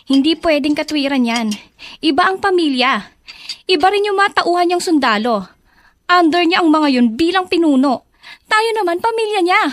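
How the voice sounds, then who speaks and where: Casting: young Filipino woman, high voice, caring and outspoken, expressive radio drama delivery.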